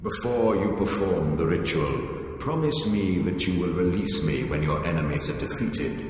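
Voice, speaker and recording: A man playing a vain, vengeful ancient ghost lord in a video game, speaking in a grandiose, formal and deliberate manner. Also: low voice, animated. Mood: solemn, defiant. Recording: cavernous reverb, music underneath.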